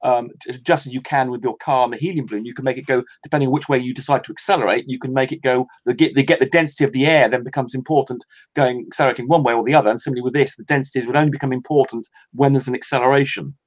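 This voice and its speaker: A man's voice: mid-range voice, steady tone, very fast. A man explaining at length in a casual online voice chat, with rambling restarts. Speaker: call guest.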